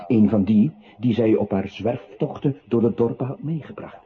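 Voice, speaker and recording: An actor playing a scornful narrator in a radio drama, sardonic and theatrical, with a very raspy voice. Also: male voice, medium pitch, normal speed, lightly acted. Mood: mocking. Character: mocking, scornful male narrator belittling a pitiful man. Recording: muffled phone-like old radio recording.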